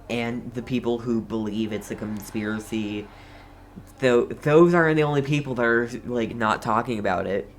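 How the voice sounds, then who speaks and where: A man giving casual game commentary in a halting, rambling way. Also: medium pitch, slight background noise. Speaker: gamer playing through a story quest. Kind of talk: casual game commentary, reading dialogue aloud.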